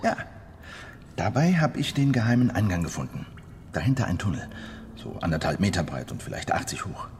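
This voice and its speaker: Patient criminal insider, deep, animated delivery, assured, radio drama, faint background noise. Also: male voice, brisk, gravelly, full character voice. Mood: assured explaining. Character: confident male heist planner, patient insider criminal. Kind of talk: German radio crime drama acting.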